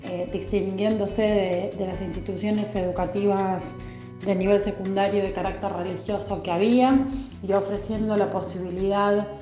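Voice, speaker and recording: A woman, a librarian, speaking in a measured way with frequent hesitations about her library. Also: medium pitch, steady tone, echoey room, music in the background. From Argentina. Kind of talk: interview testimony.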